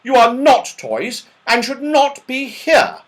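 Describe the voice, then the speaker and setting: A commanding toy soldier captain, played by a man from a children's storybook. The voice is pitched up and forceful, giving clipped, officious orders. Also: lively intonation, takes it slowly, heavily acted. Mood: stern, commanding.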